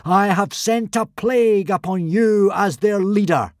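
A man voicing a boy who poses as an ancient thunder god, booming solemn commands. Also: measured pace, no echo, clean studio sound.

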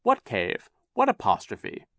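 A man voicing a fussy, well-meaning but obtuse gentleman in a storybook reading, fretful and patronizing. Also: mid-range voice, wildly swooping pitch, measured pace, no room sound, clean studio sound, pitched above the performer's own voice. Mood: patronizing disbelief.

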